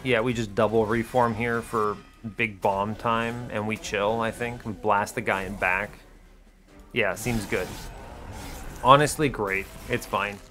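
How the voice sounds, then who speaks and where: A man's voice: on the low side, steady tone, measured pace, sharp tone, soft background music. A man giving casual video game commentary, thinking aloud in a wry, chatty way with short clipped reactions. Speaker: video game streamer explaining his play.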